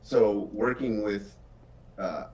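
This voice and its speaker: Low-voiced middle-aged man, slightly distant. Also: fairly even intonation, slow pace, darkish tone. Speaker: game developer and publisher. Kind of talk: casual developer chat.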